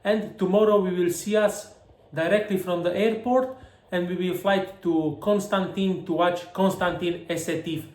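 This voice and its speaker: A man narrating a football travel vlog in halting English full of fillers. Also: high voice, steady tone, darkish tone. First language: Romanian. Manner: halting non-native English with many fillers.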